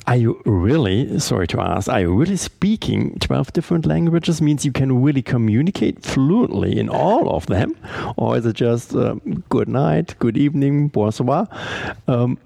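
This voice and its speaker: A man's voice: mid-range voice, sing-song, moderate pace, creaky, mellow, slightly rough, dry recording. A man interviewing a guest, asking polite questions and rephrasing them as he goes.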